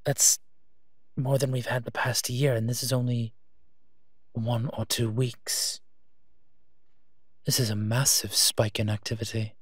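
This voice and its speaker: A gentle monster guardian played by a man talks slowly and softly in a close, dry recording. He is a protective, playfully teasing partner in an intimate audio roleplay, speaking straight to the listener at a mid-range male pitch. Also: flat delivery, husky, no room sound. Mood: serious, concerned. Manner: soft-spoken, unhurried, playfully teasing.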